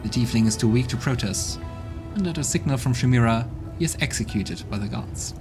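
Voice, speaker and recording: A man of middle pitch hosting a let's play, reading out game text and throwing in wry, dry asides. Game music plays steadily behind him. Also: animated, brisk. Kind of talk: game narration and commentary.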